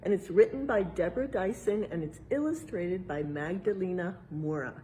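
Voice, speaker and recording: A woman reading a picture book aloud in a museum exhibit like an educator, pausing for casual explanations and checking in with little tag questions. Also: on the low side, dark tone, a little hiss or hum, low-quality recording. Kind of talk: read-aloud storytime with casual explanatory asides.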